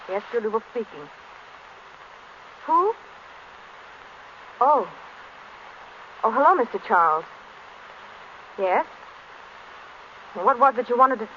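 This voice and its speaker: An actress playing a cool, shrewd, guarded secretary in an old radio crime drama. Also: female voice, a little high. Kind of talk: 1930s radio drama acting. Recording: dull, faintly noisy old radio recording.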